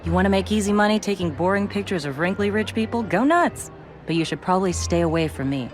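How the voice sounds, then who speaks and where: An actress playing a brisk, wry journalist in a video game, with a casual, sardonic way of talking. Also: female voice, medium pitch, lively intonation, natural voice. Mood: wry, dismissive. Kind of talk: video game cutscene acting.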